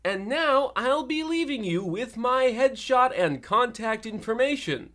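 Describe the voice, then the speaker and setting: Man voicing a high, swooping, oblivious Mole King. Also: lively intonation, unhurried, fairly bright tone, heavily acted. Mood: politely intrusive. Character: polite, oblivious, self-promoting Mole King hanger-on. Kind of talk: hobby radio-play parody acting.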